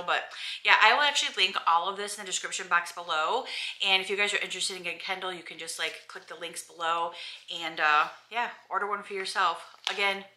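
A woman's voice: on the low side, sharp tone. A woman in her forties vlogging casually from her bedroom at home, chatty and rambling with lots of ums and restarts. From Alabama, USA. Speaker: lifestyle vlogger. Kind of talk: casual chat to camera.

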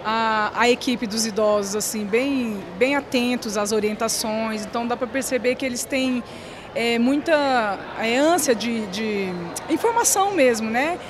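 A woman's voice: fairly high pitch, unhurried. Brazilian woman interviewed in a noisy hall. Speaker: guest speaker being interviewed. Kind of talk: TV interview answers. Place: large indoor hall. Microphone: handheld reporter microphone. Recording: noisy hall with clear background noise.

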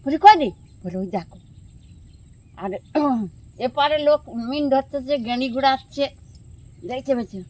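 A woman's voice: high voice, moderate pace, long pauses. An older woman with a rural southern Bengal accent, telling stories casually and colloquially in an outdoor interview, acting out the dialogue. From Sundarbans, India. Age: older, grandmother. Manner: animated, colloquial storyteller who acts out dialogue. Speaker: interviewee, villager who fishes and catches crabs. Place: outdoors by a riverbank village.

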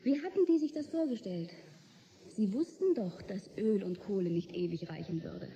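An actress playing a sharp-tongued, skeptical young woman in a radio play, dry and probing in her delivery. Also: medium pitch, big pitch swings, normal speed. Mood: sardonic. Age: young adult. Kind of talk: German radio play dialogue. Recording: dull, slightly noisy radio drama recording.

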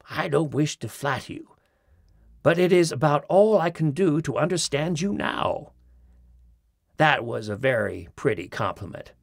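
Guy reading a funny essay, raspy voice, bouncy pitch, does little bits. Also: fairly bright tone, no echo. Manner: mimics accents in quoted phrases, dry wit. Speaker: audiobook narrator. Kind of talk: expressive reading of a humorous essay. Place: studio. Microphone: close studio mic.

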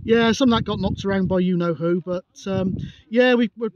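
A high-voiced man chats casually as a radio operator out on an open hilltop. There is clear noise in the outdoor recording. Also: normal speed, highs cut off. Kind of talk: casual chat and radio calls.

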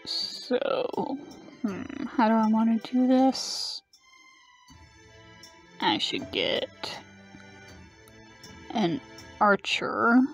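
A woman's voice: on the high side, lively intonation, very slow, pauses often, faint music behind. A woman giving casual gameplay commentary, talking half to herself as she plays. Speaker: game streamer.

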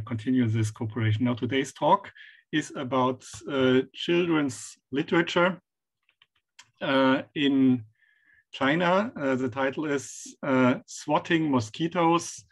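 Halting man introducing a guest speaker. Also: mid-range voice, rough recording. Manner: halting, many uh and um pauses. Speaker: event host introducing a speaker. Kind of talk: introduction at an online seminar. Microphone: video call.

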